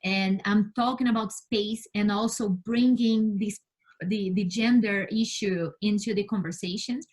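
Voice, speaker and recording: A woman from Brazil, a native Portuguese speaker with a Brazilian accent, answering interview questions as a scientist in an earnest, halting way. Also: medium pitch, steady tone, darkish tone, poor audio. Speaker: interview guest, space scientist and mentor.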